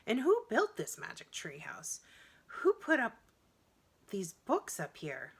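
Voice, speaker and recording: A woman's voice: medium pitch, huge pitch swings, takes it slowly, creaky, husky, pitched above the performer's own voice, exaggerated voice. A woman voicing a puzzled, wondering young boy in a children's storybook, with emphatic delivery. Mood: bewildered.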